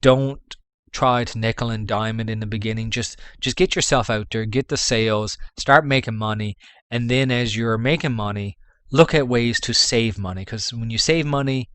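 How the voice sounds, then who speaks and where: A man's voice: mid-range voice, normal speed, fairly bright tone, clear, resonant tone, dry recording. A man with a Canadian accent, giving rambling business advice in a casual vlog. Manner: rambling, with restarts and fillers. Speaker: dropshipping vlogger.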